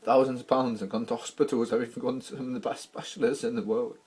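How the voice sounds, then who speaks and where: A man from Wales, speaking in a halting, emotional video diary while distressed and close to tears. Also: mid-range voice, fairly even intonation, darkish tone, slightly rough. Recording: old archival video clip.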